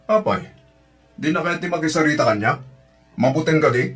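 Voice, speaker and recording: A man's voice: on the high side, lively intonation, moderate pace, fairly bright tone, slightly rough. A man playing a stern uncle, a business owner, in a radio drama, firm and accusatory. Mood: suspicious, displeased. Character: stern, accusing uncle who owns a restaurant. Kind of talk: radio drama acting in Ilocano.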